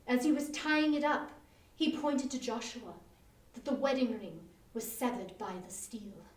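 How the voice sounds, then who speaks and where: Echoey distant recording of a woman with a high voice doing a dramatic story reading. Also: animated, measured pace. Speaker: volunteer or staff reader. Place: echoey room, live online performance. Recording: echoey room, distant microphone, online stream.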